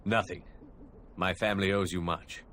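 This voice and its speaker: An actor playing a proud dwarf blacksmith who speaks in a grave, formal way. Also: male voice, sits low, steady tone, normal speed, fairly bright tone, a little hiss or hum. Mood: solemn. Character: proud solemn male dwarf smith. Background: game music.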